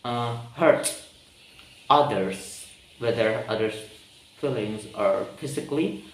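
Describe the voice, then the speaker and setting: A man with an Indonesian accent, speaking English as a second language, talking slowly and haltingly, searching for words with many pauses and ums. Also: medium pitch, a little hiss or hum. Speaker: vlogger practicing spoken English. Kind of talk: reflective solo monologue. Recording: roomy echo, mic at a distance.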